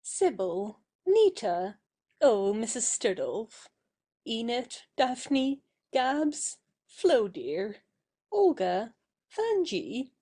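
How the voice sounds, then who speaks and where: A woman's voice: fairly high pitch, big pitch swings, drawn-out words, warm tone, husky, heavily acted. A woman playing a popular, effusive young stage beauty in a period satirical audio play. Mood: affectionate, gushing.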